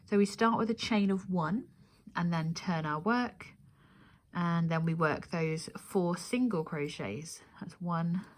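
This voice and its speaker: A woman hosting a crochet tutorial, giving step-by-step instructions and counting aloud as she goes. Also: fairly low pitch.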